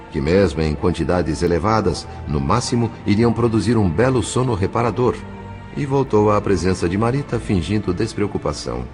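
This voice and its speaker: A man with a Brazilian accent playing a grave, compassionate narrator in an audio drama, in measured, literary phrasing. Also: low-pitched, a little mellow.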